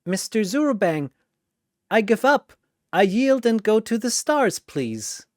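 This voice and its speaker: A narrator voicing a gentle, brave, sad little girl with a soft, steady manner in an audio story. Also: mid-range voice, slow, no echo, studio quality, pitched above the performer's own voice. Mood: gentle resolve.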